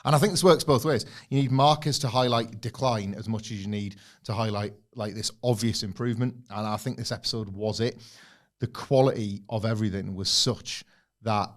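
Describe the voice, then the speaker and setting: A man's voice: fairly low pitch, fairly even intonation, brisk. A man co-hosting a wrestling podcast, talking enthusiastically in long rambling takes. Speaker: podcast co-host. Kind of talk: casual podcast chat.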